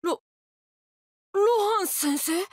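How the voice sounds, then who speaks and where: An actor voicing a small, timid, polite teenage schoolboy in an anime game, excitable and prone to stammering. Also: very high-pitched, measured pace, dry recording, clean studio sound. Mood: flustered.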